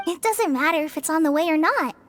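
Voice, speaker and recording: A woman voicing a shy, earnest young woman ranger in a video game, speaking gently and encouragingly. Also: very high voice, lively intonation, brisk.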